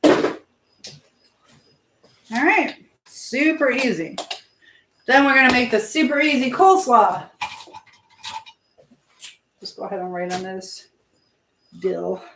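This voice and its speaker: A woman's voice: on the high side, lively intonation, slow pace, long pauses. Chatty woman live streaming, noisy recording. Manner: chatty, informal, addresses viewers directly. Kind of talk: casual live chat while cooking, reading out viewer comments. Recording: clear background noise and clicks.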